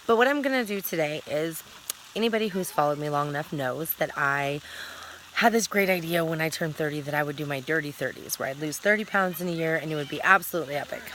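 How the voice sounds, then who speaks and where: A woman's voice: sits low, fast talker, fairly bright tone, a little hiss or hum. A woman in her thirties talking casually in a vlog, rambling and correcting herself as she goes, recorded outdoors at a campsite. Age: 30s. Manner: rambling, talkative, self-correcting. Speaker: vlogger.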